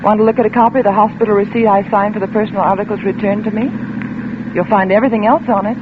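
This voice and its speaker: An actress playing a sardonic, suspicious insurance investigator in a vintage radio drama, with dry, clipped delivery. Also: female voice, medium pitch, fairly even intonation, rapid-fire, close to an everyday voice. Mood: accusing, controlled. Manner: dry, wry, clipped retorts. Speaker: radio actor and first-person narrator. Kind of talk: 1940s-50s radio drama acting. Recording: noisy, tinny old radio recording.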